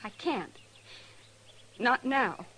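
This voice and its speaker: A woman's voice: fairly high pitch, expressive, very slow, pushed and bright, husky, heavily acted. An American actress playing a headstrong, lovestruck fifteen-year-old girl in a radio western, emotional and stubborn. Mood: stubborn, upset. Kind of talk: mid-century American western radio drama acting. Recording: noisy vintage radio recording.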